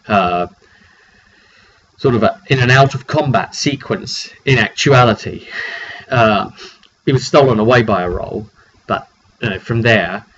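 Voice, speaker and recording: A man with a mid-pitched voice and a cold tells the story of his tabletop game as its game master. He speaks casually in short, halting phrases and clears his throat now and then. The recording is muffled and lo-fi. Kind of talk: casual recap storytelling to camera. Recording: dull lo-fi makeshift mic, clearly noisy.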